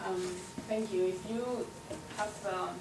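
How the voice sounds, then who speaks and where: A woman leading a workshop, first guiding an imagination exercise, then speaking haltingly with many fillers. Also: medium pitch, fairly even intonation. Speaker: workshop presenter. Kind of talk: guided imagery then academic talk. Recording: echoey room from far off, loud noise.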